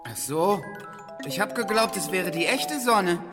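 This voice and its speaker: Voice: medium pitch, animated, measured pace, big-room sound, close to an everyday voice. An actor voicing a small, fearful and fussy male creature in a children's radio play, anxious and complaining, with music under the dialogue. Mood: disappointed.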